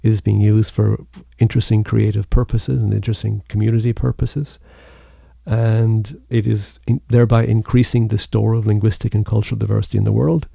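A male university researcher answering an interview in a deliberate, academic way, with a gravelly voice. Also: lowish voice, level tone, dark tone, no echo, muffled recording.

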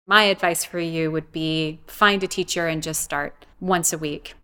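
A woman's voice: deep voice, steady tone, unhurried, sharp tone, clean tone. A woman being interviewed, talking reflectively and casually about her own experience. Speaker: interviewee, language learner.

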